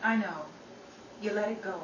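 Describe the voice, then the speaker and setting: A woman's voice: medium pitch, dull audio, close to an everyday voice. A woman playing a brash, sarcastic, star-struck schemer gal in a melodramatic 1930s New York radio comedy. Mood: cajoling. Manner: sarcastic, melodramatic, fast-quipping. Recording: echoey distant stage recording, loud noise, audience.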